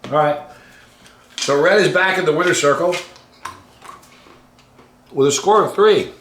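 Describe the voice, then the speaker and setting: A man casually hosting a livestream and reading out viewer comments, halting and conversational, trailing off quietly at the ends of phrases. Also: a little high, a little hiss or hum. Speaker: host of a hot sauce challenge channel. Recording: roomy, echoey room sound.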